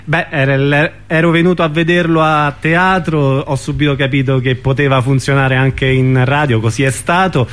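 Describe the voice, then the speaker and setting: A man's voice: mid-range voice, steady tone, moderate pace, hardly any pauses, fairly bright tone. A man hosting a radio programme, presenting and interviewing guests. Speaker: radio host.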